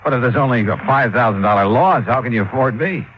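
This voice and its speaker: A man's voice: medium pitch, quick pace, slight background noise, lightly acted. An actor playing a wry, streetwise insurance investigator who narrates his case in 1950s radio detective style. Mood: casual, curious. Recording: dull old radio recording.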